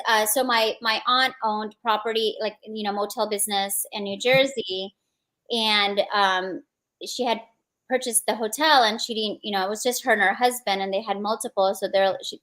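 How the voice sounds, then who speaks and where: A woman's voice: mid-range voice, a bit bright. A woman with an American accent, speaking as an interview guest and casually telling personal stories. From born in India, grew up in the United States.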